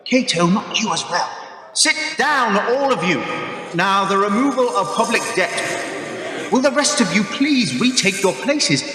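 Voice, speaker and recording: A man's voice: high-pitched, expressive, measured pace, a crowd in the background. A man playing a pompous Roman ruler addressing the Senate in an amateur radio play, speaking in an oratorical, lordly way. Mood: exasperated. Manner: oratorical, lordly, mildly exasperated. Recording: echoey hall with long reverb.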